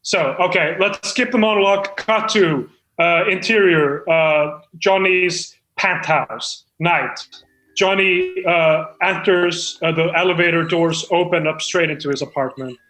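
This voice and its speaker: Man playing a high-voiced, rambling amateur screenwriter. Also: pushed and bright, low-quality recording. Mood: pretentious, rambling. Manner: halting, rambling, self-important. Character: pretentious, excitable amateur male screenwriter, earnestly self-important. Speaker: screenwriter reading stage directions at a table read. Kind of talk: improvised comedy table read.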